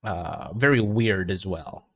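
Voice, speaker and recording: A man's voice: low voice, expressive, slow pace, a bit bright, slightly rough. A man tutoring English over a video call, chatting casually and often restarting his words. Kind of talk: conversational English lesson.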